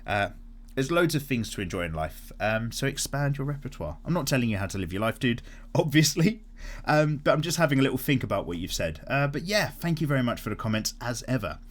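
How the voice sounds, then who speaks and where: A man's voice: mid-range voice, very animated, brisk. A young man hosting a casual drawing stream, reading out viewer comments and rambling in a friendly way with casual swearing. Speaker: art channel host. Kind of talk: casual chat while sketching, reading comments.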